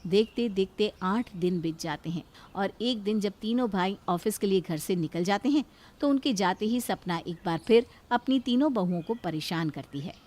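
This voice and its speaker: A woman's voice: medium pitch, animated, moderate pace, darkish tone. A woman reading scripted narration for a cartoon moral story as its storyteller.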